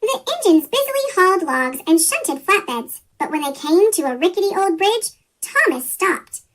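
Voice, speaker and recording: Tiny squeaky chipmunk voice reading a kids storybook, super high n bouncy. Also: sing-song, normal speed, fairly bright tone. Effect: sped-up chipmunk pitch shift. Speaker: children's story reader. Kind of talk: scripted storybook read-aloud.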